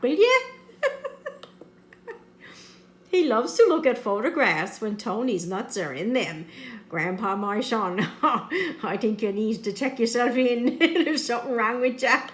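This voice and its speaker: A woman's voice: a little high, a bit bright. A woman reading a picture book aloud, constantly breaking into giggles and making teasing asides. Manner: constant giggling, cracks up between lines. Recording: roomy, echoey sound.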